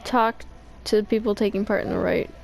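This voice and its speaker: Creaky-voiced young-sounding woman reacting to a game. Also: mid-range voice, moderate pace, faint music behind. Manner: slangy, playful, excitable. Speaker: gamer playing and reacting. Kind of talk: casual gameplay commentary.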